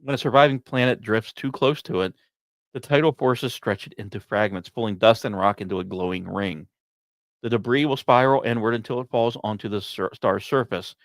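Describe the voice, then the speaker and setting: A man hosting a science podcast, reading scripted narration in a measured storytelling way with deliberate pauses. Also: on the low side, no echo. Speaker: podcast host.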